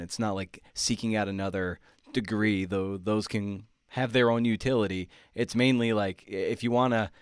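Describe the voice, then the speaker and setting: A man's voice: lowish voice, no room sound, clean studio sound. A man hosting an interview podcast, talking in a conversational, thoughtful and encouraging way. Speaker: podcast host.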